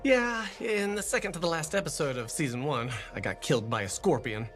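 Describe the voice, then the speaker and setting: An actor playing an eager, self-important bartender who fancies himself an actor, in a video game. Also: male voice, fairly high pitch, brisk, natural voice. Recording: music behind the voice.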